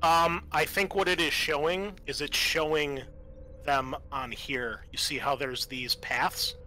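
Bright-voiced male game master. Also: fairly high pitch, fairly even intonation, unhurried, poor audio. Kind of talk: casual tabletop game refereeing.

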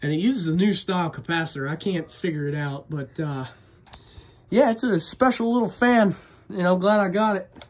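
A man's voice: high voice, moderate pace. A man talking casually and unscripted as a hobbyist vlogger, in a chatty, rambling, enthusiastic way. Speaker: vlogger, ceiling fan collector. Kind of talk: casual unscripted show-and-tell video narration.

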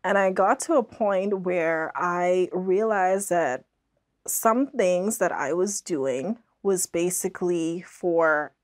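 A woman's voice: lowish voice, slow pace. A young woman from the Bahamas speaking reflectively and earnestly as a guest in a conversational studio interview. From Andros, Bahamas. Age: young adult. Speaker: podcast guest, author. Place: small studio, seated indoors.

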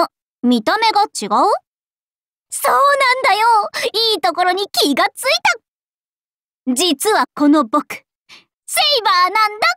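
Scatterbrained, androgynous boy knight for an anime game: bright, wildly animated, impulsive and cheerful. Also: very high-pitched, dry recording, clean studio sound, full character voice. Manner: bubbly, impulsive, playful exclamations. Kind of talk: anime game character acting.

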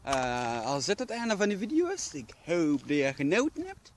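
Young man vlogging, high voice. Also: sing-song, normal speed. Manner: rambling, playful, lots of filler "eh". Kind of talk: casual vlog chat. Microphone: handheld camera at arm's length. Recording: noisy handheld camera audio.

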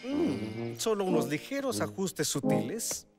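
A man's voice: on the high side, wildly swooping pitch, a little slow, over loud music. A man voicing a kindly, self-pleased father in a Latin American Spanish cartoon dub. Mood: fond.

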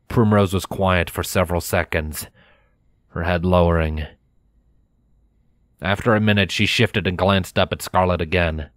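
Low-voiced man narrating a story. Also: steady tone, a little slow, pauses often, dry recording.

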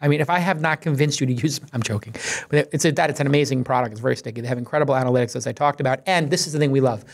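A man in his forties hosting a podcast roundtable and reading ads, animated and punchy, talking into a studio boom mic. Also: very fast, vocal fry, few pauses. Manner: animated, gestures broadly, punchy asides. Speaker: podcast host. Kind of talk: casual roundtable chat and ad read. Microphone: studio mic on boom arm.

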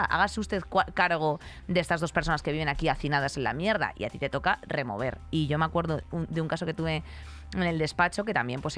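A woman's voice: deep voice, fairly bright tone. A woman in her thirties with a Spain Spanish accent, co-hosting a podcast in a blunt, sweary, emphatic way, close on a studio mic. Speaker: podcast co-host. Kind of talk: candid, opinionated podcast chat. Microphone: close studio microphone on arm.

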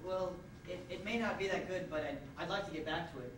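A man's voice: high voice, quick pace, lightly acted. A young man playing a polite, flustered, reluctant fellow in an amateur stage comedy, with hesitant, stammering delivery. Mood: polite, annoyed. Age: young adult. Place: theatre stage, indoors. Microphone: far camera microphone. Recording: distant, echoey, noisy old stage videotape.

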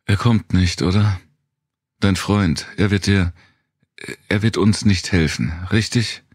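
A man's voice: deep voice, slow pace, slightly rough, no room sound, studio quality, voice pushed up, exaggerated voice. A man voicing a tough, wary woman survivor with a blunt, explanatory manner in an audiobook. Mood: probing.

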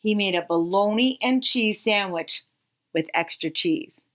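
A woman in her thirties reading a children's picture book aloud expressively, with dramatic pauses and emphasis. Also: mid-range voice, a bit bright. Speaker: read-aloud storyteller.

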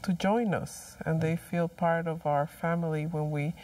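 Hesitant middle-aged woman, lowish voice. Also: fairly even intonation, measured pace, a little mellow, far from the mic. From El Salvador. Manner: hesitant, repeats words. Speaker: guest. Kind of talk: casual TV panel chat. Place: TV studio set, seated.

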